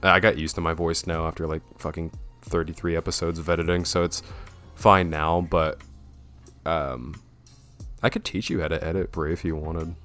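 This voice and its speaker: Young male podcast host. Very low, deep radio-style voice, loose and rambling. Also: moderate pace, faint music behind. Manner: relaxed and rambling, with frequent fillers. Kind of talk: casual chat.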